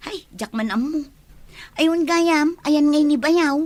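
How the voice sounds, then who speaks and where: A woman's voice: high voice, slow, darkish tone, no echo. A woman acting a nosy, complaining village woman in an Ilocano comedy radio drama, with an exclamatory, whiny delivery and an Ilocano accent. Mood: chatty.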